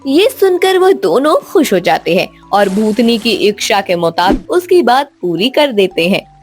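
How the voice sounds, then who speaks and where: A woman's voice: a little high, very animated, unhurried, faint music behind. A woman narrating a scripted children's story as a storyteller.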